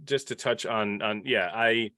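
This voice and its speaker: Deeper voiced guy on a podcast panel, casual, stop-start sentences, dry close sound. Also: steady tone, measured pace, sharp tone, no echo. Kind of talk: casual panel discussion of a TV series.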